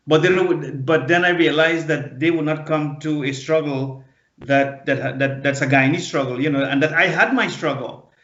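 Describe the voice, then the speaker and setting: A man in his fifties, a guest on a panel, recalling his own past in a halting, earnest way and often restarting words. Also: on the high side, fairly bright tone. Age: 50s. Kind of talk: personal recollection in a panel discussion. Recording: dull, echoey remote audio.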